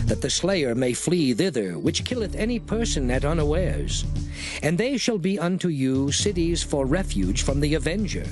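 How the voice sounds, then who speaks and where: Measured solemn law-giving voice, bit high, swings around a lot, music under it. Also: male voice. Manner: measured, declaiming. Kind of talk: dramatized scripture reading. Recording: studio voice over music bed.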